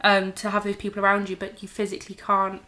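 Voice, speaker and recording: A woman's voice: medium pitch, monotone, quick pace, sharp tone. A woman, chatting casually as a guest and filling her talk with "like". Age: 20s. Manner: chatty, lots of "like" fillers. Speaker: guest, host's sister. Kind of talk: casual chat.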